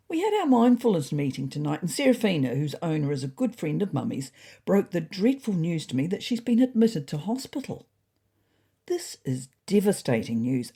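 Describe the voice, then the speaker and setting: A woman voicing an anxious, earnest pet cat reading its diary in a measured, wistful storytelling manner. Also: low-pitched, dark tone, breathy edge, full character voice. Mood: sad, troubled. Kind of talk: first-person diary narration.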